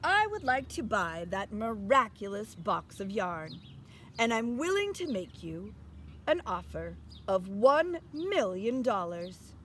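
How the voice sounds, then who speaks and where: A woman voicing a haughty, demanding storybook archduke in a loud, grandly deliberate way. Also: mid-range voice, sing-song, slight background noise. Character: demanding, haughty wealthy archduke fond of clothes.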